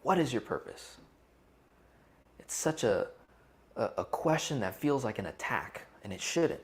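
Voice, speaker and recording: A man's voice: mid-range voice, very animated, unhurried, slight background noise. A man co-hosting a casual, interactive coaching talk online, putting questions to his listeners and often checking in with them. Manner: conversational, asks questions and checks in with "right?". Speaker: co-host leading an interactive online seminar. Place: live video call session.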